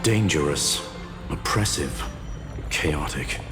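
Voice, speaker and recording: Grave, deep-voiced intellectual. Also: male voice, animated, reverberant, music in the background, full character voice. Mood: grave, disapproving. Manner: formal, deliberate, matter-of-fact. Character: grave, courteous male intellectual, cautious and disapproving. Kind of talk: scripted video game voice acting.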